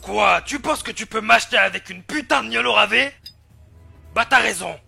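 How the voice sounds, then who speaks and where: Man playing a gravelly, grumpy cartoon demon. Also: sits high, normal speed, bright, forceful tone, soft background music, exaggerated voice. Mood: surly. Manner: surly, swearing, sarcastic. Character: grumpy foul-mouthed gambling demon, bitter and cynical. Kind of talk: amateur French animation fan dub.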